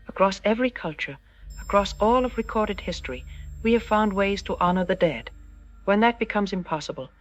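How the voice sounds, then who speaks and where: A woman playing a solemn, earnest doctor giving deliberate expert warnings on a news broadcast in an audio drama. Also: mid-range voice, lo-fi sound, lightly acted. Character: solemn female university doctor warning of pandemic. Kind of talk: scripted interview in an audio drama.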